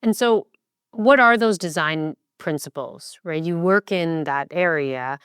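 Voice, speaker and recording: A woman's voice: low voice, measured pace, fairly bright tone, clear, resonant tone, dry recording, clean studio sound. A woman hosting a podcast interview, putting questions to her guests.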